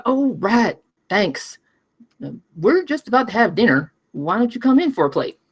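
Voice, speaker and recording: A woman's voice: mid-range voice, big pitch swings, moderate pace, breathy edge. A woman playing a brash, foul-mouthed young mechanic in a screenplay table read, casual, sarcastic and blunt. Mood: casual, insistent.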